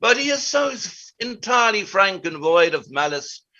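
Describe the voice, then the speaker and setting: An actor playing a pompous, exacting playwright who narrates stage directions in a deliberate, declamatory way. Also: male voice, high-pitched, very animated, sharp tone, muffled recording, full character voice. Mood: emphatic. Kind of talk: theatrical reading of stage directions.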